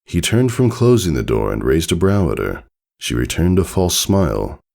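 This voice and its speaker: A man reading a story aloud as narrator, in an expressive, theatrical, dramatic style. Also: low-pitched, normal speed, vocal fry. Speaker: fan fiction narrator.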